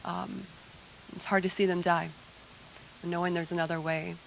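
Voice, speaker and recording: A woman of middle pitch speaks haltingly, searching for words as she talks about recovery. A faint hiss sits under her voice. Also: level tone, gravelly, poor audio. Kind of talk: emotional personal testimony.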